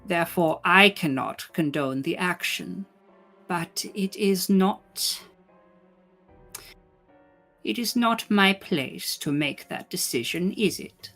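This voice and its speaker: A woman playing a cautious, solemn female magic practitioner in a tabletop game, speaking formally and deliberately. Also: lowish voice, a bit bright, faint music behind, full character voice. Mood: grave, cautioning. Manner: formal, deliberate, measured phrasing. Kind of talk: in-character tabletop roleplay.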